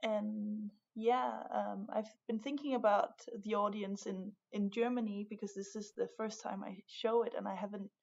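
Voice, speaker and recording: A young Danish woman talks in English with a Danish accent, pausing often and restarting her sentences. Her voice has a gravelly fry at the ends of phrases. The recording sounds dull and lo-fi. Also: medium pitch, fairly even intonation, darkish tone. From Denmark. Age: young adult. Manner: hesitant, many fillers and restarts. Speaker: art student, guest. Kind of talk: casual conversation.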